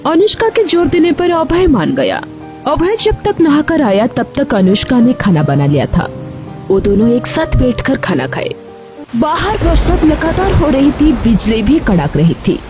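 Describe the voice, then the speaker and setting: Woman narrating a story, really high voice, goes up and down a lot, music playing. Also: sing-song, unhurried. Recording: music behind the voice.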